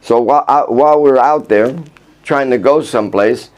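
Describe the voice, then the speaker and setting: Older man, rambling storyteller, halting with restarts, mid-range voice. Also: mid-range voice, a little mellow. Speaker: vlogger recounting his life. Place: outdoors in Vrindavan.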